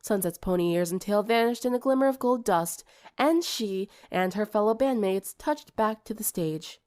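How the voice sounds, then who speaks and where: Woman narrating a story, medium pitch. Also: expressive, moderate pace, darkish tone. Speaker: audiobook reader.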